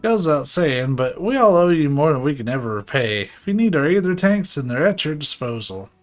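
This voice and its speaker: Casting: a man playing a coarse-tongued, chummy workshop tinkerer for a fantasy game, pitched up, informal and exclamatory. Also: sing-song, brisk, soft background music. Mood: breezy, chummy. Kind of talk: game dialogue read aloud in character.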